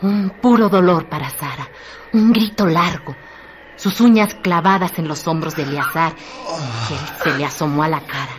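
A woman's voice: deep voice, moderate pace. A woman giving a dramatic, literary narration as the narrator of a radio drama. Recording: noisy radio drama track.

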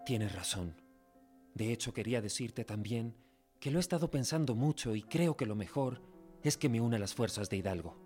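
Actor as an earnest young idealist in love. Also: male voice, mid-range voice, animated, normal speed, dark tone, close to an everyday voice. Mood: earnest, tender. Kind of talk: radio drama acting. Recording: music under the voice.

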